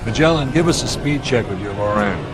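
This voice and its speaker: Radio play captain male actor: mid-pitched, assured, commanding, clipped delivery, clear music bed. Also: expressive, a little fast, close to an everyday voice. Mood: tense, commanding. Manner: authoritative, clipped orders. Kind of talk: radio drama acting.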